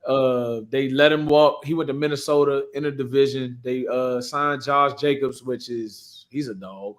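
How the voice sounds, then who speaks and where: A man's voice: medium pitch, fairly even intonation, moderate pace. An American man, likely in his twenties, chatting casually about sports in a slangy, profane, bantering way. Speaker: podcast co-host, pro football player.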